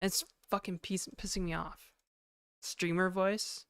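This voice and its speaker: A hoarse woman talks through her code on a live stream, casual and cursing freely, with plenty of "like" between thoughts. Her voice sits on the low side for a woman. The sound is dry and close, with no room echo. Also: fairly even intonation, measured pace. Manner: casual, swearing, thinking aloud with many fillers. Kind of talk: live coding stream commentary. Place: home apartment.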